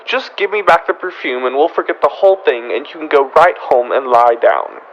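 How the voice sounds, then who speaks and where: A man plays an officious store detective in an old-time radio comedy. He is condescending and firm, with a fairly high voice over faint background noise. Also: animated, a little fast, dull audio, close to an everyday voice. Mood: condescending, suspicious. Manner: firm, patronizing, clipped questioning.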